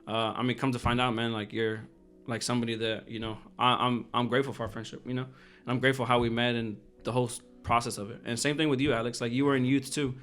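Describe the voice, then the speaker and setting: A man's voice: mid-range voice, flat delivery, fast, hardly any pauses, a bit bright, faint music behind. A man in his twenties co-hosting a podcast, talking casually and earnestly and often repeating his phrases. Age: 20s. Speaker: podcast co-host. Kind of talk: casual personal chat.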